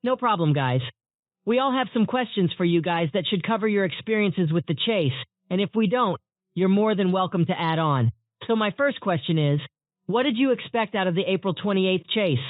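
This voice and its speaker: Thin, phone-like audio carries a high-voiced, polite male interview host, acted by a man. He speaks in a formal, scripted broadcast manner, very dry and close. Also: lively intonation, normal speed, dry recording. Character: polite male TV news interviewer questioning storm chasers. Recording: muffled narrowband phone-like audio.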